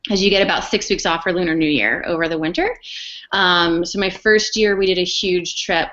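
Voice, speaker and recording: A woman's voice: fairly low pitch, brisk, fairly bright tone. A young woman speaking casually and with animation on a Q&A panel. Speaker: panelist, former English teacher. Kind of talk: Q&A panel talk. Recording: dull lo-fi recording.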